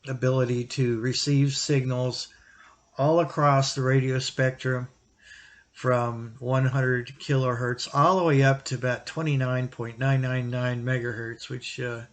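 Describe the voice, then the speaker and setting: Man giving halting, informal gear advice. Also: mid-range voice, little pitch movement. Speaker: YouTube radio hobbyist and reviewer. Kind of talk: informal product recommendation, explanatory.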